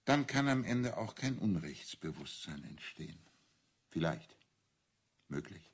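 An actor playing a shrewd priest and amateur detective in a German radio crime drama, explaining deliberately and with self-assurance. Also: male voice, deep voice, big pitch swings, slightly rough, heavily acted. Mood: matter-of-fact.

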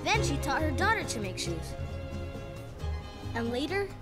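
A young storyteller narrates an animated film's family tale. The voice is very high and animated, playful in delivery, over clear background music. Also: female voice, a little slow. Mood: playful narrating.

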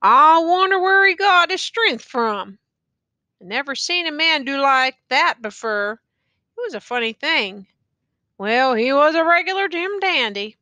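A woman's voice: high-pitched, expressive, a little slow, sharp tone, no room sound, voice pushed up, heavily acted. A woman voicing a weary, ragged male soldier who talks in a rural dialect and jokes through his pain. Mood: musing. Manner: rural dialect, drawling and musing.

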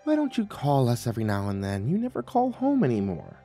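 A man's voice: medium pitch, wildly swooping pitch, a little fast, mellow, faint music behind. A man voicing an older mother who is passive-aggressive, pouty and pointed, in a dramatized story. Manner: accusatory, guilt-tripping, pointed.

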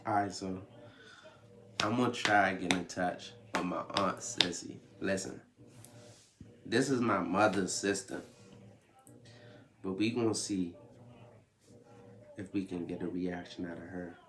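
A man's voice: low voice, fairly even intonation, a little slow, long pauses. A young man chatting casually to camera as a prank call vlogger, slangy and profane, often repeating his phrases. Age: young adult. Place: small indoor room at home. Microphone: camera mic a short distance away. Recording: faint room noise.

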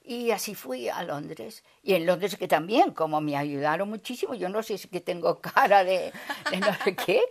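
An older woman whose first language is Basque, telling stories of her student years abroad in a lively, gesturing way, seated on a sofa in a living room. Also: lowish voice, moderate pace, gravelly. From Basque Country. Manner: lively storyteller, gestures a lot, acts out her own past remarks. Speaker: interviewee. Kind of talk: casual interview.

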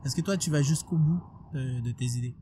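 A man hosting a podcast interview in French, asking questions in a casual, hesitant way with repeated words, recorded on a studio mic in a bright room. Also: mid-range voice, a little fast, a little hiss or hum. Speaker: podcast host. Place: bright room with plants and large windows. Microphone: studio mic on a boom arm.

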